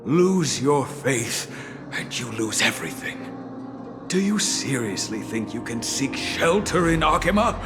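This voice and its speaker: An actor playing a stubborn, devout priest in a video game, speaking in a grave, proud and formal declamatory manner. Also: male voice, mid-range voice, sing-song, a little slow. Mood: stern, resolute. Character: stubborn, devout male priest elder of a wandering people. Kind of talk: dramatic video game voice acting. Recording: long reverb under loud background music.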